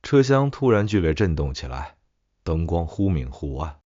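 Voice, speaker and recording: Male audiobook narrator for ghost stories: extremely deep voice, dull-sounding track. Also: very animated, normal speed, mellow, no echo. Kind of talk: scripted horror story narration.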